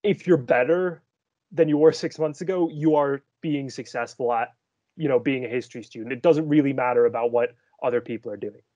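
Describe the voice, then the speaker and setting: A man's voice: medium pitch, a little fast, dark tone, no echo. A young man history student giving encouraging advice on a video call. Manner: encouraging, uses "kind of". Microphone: laptop webcam on video call. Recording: dull, dry webcam audio.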